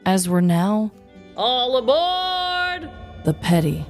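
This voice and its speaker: Expressive, lively woman podcaster. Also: fairly high pitch, slow, soft background music. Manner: expressive, dramatic. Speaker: podcast co-host. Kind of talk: casual banter and scripted comic storytelling.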